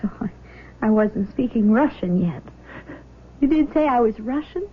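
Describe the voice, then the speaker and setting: An actress playing a shaken but loving nineteen-year-old daughter in a 1970s radio drama, halting and exclaiming. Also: female voice, a little high, expressive, a little hiss or hum, natural voice. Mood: stunned disbelief. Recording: dull lo-fi old radio broadcast.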